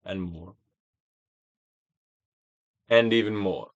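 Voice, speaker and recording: A man giving a dramatic, theatrical reading of a self-help book as a guided exercise, with deliberate, swelling and falling emphasis. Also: deep voice, vocal fry, no room sound, muffled recording. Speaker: narrator, audiobook reader.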